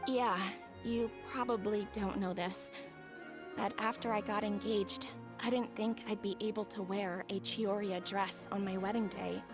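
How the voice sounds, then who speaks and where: A woman's voice: medium pitch, fairly even intonation, measured pace, over music, natural voice. A young woman playing a sweet, humble, grateful bride-to-be in a video game. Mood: wistful, earnest.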